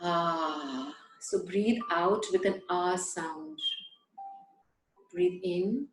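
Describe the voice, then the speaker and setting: A woman guiding a breathing and letting-go meditation, giving slow, soothing instructions with long pauses. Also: lowish voice, background noise. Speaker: meditation guide. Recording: echoey room.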